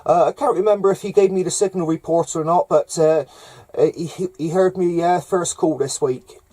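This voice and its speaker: A man with a hesitant, halting delivery, making casual CB radio contacts with call signs and jargon. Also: a little high, fairly even intonation, mellow. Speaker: amateur CB radio operator running contacts. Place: camper van up in the hills.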